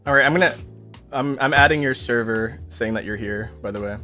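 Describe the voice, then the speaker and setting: Soft-spoken man hosting a chat stream. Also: mid-range voice, fairly quick, faint music behind. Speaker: streamer host.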